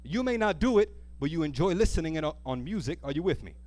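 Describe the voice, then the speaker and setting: A man with a fairly high voice delivers a church sermon. He is earnest and emphatic, repeating key phrases and turning them into questions for the congregation. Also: sing-song, fast talker, a little mellow. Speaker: preacher. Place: speaking live to a church congregation.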